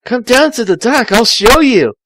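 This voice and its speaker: Cartoon hedgehog hero, voiced by a man: cocky, breezy, pitched up, for a story reading. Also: animated, normal speed, fairly bright tone, a little hiss or hum.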